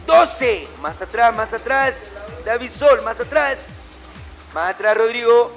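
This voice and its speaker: A man's voice: extremely high pitch, lively intonation, slow, music in the background. A middle-aged man, a martial arts instructor giving commanding, short, repeated orders and corrections to a class in a gym. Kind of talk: calling out drills and corrections in a class. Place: indoor martial arts gym with matted floor.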